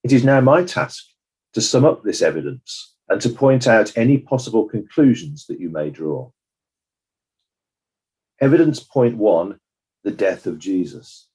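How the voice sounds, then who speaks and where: A man playing a formal, probing coroner in a dramatized inquest, questioning in a measured, husky voice. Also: on the low side, very animated, darkish tone, heavily acted, poor audio. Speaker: inquest examiner.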